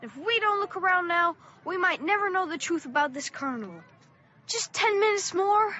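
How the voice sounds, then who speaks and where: A woman's voice: very high-pitched, animated, moderate pace, pushed and bright, dull audio, exaggerated voice. A woman playing a bold, curious thirteen-year-old boy in a radio play, cocky and eager with folksy slang. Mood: eager, daring. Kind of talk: radio play acting with narration.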